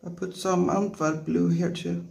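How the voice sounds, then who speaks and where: A woman with a non-native English accent giving a relaxed watercolour lesson in short, gently encouraging phrases while she works. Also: fairly low pitch, unhurried, creaky, poor audio. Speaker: watercolour tutorial instructor. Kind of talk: relaxed painting tutorial, talking while working.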